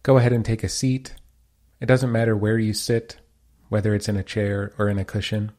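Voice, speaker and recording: Man, meditation guide, fairly deep pitch, dark relaxed tone, soothing instructional delivery. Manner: calm, soothing, unhurried instructions. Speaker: meditation teacher.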